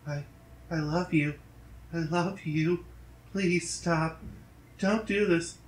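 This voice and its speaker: Man voicing a desperate pleading wife, pitched way up. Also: fairly even intonation, slow pace. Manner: pleading, breaking up. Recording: roomy echo, background noise.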